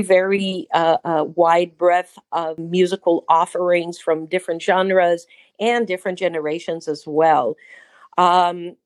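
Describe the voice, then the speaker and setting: Israeli woman, low voice, dry sound. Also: dry recording. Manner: warm, effusive, with frequent restarts. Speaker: rabbi and cantor, guest. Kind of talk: conversational interview answer.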